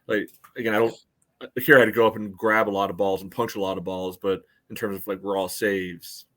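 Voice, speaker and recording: Slightly deep voiced guy co-hosting a soccer podcast, chatty match breakdown, hedges his points a bit. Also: steady tone, a little fast, a bit bright. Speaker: sports podcast co-host.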